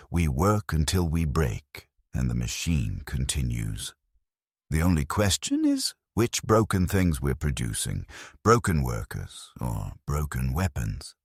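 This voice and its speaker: An extremely low-voiced man narrates a dark science-fiction tale with a husky rasp. His delivery is grave and measured, yet the pitch rises and falls dramatically. The recording is close and dry. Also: no room sound. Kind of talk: scripted first-person dramatic audiobook narration.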